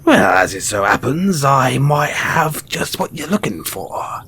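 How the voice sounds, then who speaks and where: A man playing a shrewd, mysterious post-apocalyptic merchant in an audio roleplay, speaking in an insinuating, theatrical way with drawn-out pauses. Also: mid-range voice, big pitch swings, pushed and bright, breathy edge, full character voice. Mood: wily salesmanship. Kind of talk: audio roleplay character acting addressed to the listener.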